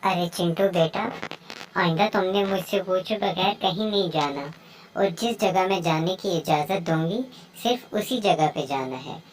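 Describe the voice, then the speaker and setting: Lady doing a cartoon mama bird, caring but strict, kinda low voice. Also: a little slow, distant mic, natural voice, poor audio. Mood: scolding. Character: caring, strict mother bird scolding her child. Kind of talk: children's moral story cartoon dubbing.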